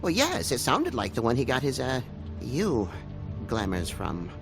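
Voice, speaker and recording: An actor voicing a nervous, apologetic, hungover monkey office helper in a video game. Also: male voice, mid-range voice, huge pitch swings, measured pace, creaky, over music, exaggerated voice. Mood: flustered. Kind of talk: animated video game acting.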